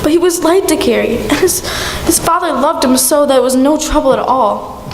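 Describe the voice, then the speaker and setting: A woman plays a tender, grieving mother in a radio drama. Her voice is high and mournful. It carries through an echoey hall with noticeable background noise. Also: lively intonation, moderate pace. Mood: sad, gentle. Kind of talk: live radio play acting. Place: school theatre stage before a live audience.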